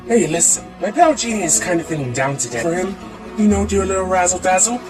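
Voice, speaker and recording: A man's voice: sits high, animated, fast, distant mic, over music. A man performing theatrical character voices in a voice acting reel, switching from one to another. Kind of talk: voice acting demo reel of game and cartoon character impressions.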